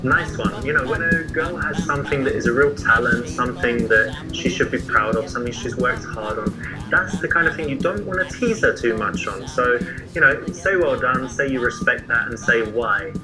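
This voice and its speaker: A man's voice: mid-range voice, normal speed, music in the background. Chatty Englishman, faint London accent. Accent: mostly faded London English. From England. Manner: chatty and playful, with relaxed fillers. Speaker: dating coach demonstrating an approach. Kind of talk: casual street conversation.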